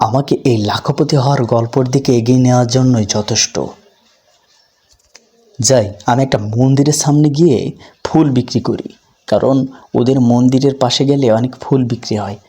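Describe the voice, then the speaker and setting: A man's voice: mid-range voice, fairly quick, dark tone, breathy edge, lightly acted. A man voicing an earnest, hopeful poor street vendor who thinks aloud in a folk tale cartoon. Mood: hopeful, musing. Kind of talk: cartoon voice acting.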